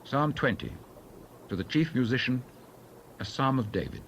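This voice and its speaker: A man's voice: medium pitch, sing-song, normal speed, a bit bright, a little hiss or hum. A man formally announcing headings in a scripted Bible recording. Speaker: announcer of psalm titles.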